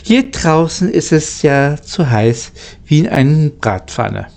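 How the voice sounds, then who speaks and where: Man reading as an easygoing, slow-talking locomotive driver. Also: on the high side, very animated, creaky, a little mellow, exaggerated voice. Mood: knowing, amused. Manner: colloquial, plain-spoken. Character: easygoing adult male locomotive driver explaining things.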